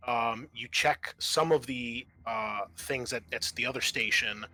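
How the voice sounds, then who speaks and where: Male game master, conversational and explanatory, medium pitch, bright edge. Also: a little slow, poor audio. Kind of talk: running a tabletop roleplaying game.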